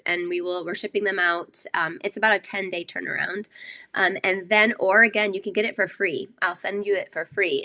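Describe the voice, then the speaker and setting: An older woman talks her viewers through a craft class from her home craft room, rambling with plenty of ums and asides. Her voice is bright and forward, with a slight nasal stuffiness left over from a cold. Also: mid-range voice. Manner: chatty, rambling with many fillers. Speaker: craft show host. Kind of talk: live-streamed craft class chat.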